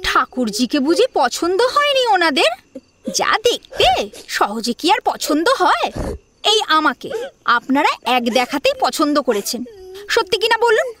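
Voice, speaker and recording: A woman acting a sharp-tongued, quarrelsome young daughter-in-law in an audio drama, sarcastic and needling. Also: extremely high pitch, sing-song, measured pace, voice pushed up, heavily acted. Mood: mocking.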